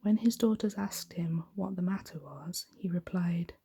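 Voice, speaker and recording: A woman giving a soft-spoken, gentle, hushed ASMR reading of a bedtime story in a breathy, whispery voice. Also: on the low side, moderate pace.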